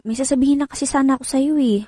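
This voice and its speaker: High-voiced exasperated wife, acted by a woman. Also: fairly even intonation, very fast, dark tone, dry recording, close to an everyday voice. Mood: hesitant, concerned. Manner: scolding, reproachful. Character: exasperated working wife and mother, nagging her husband. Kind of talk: Filipino radio drama acting.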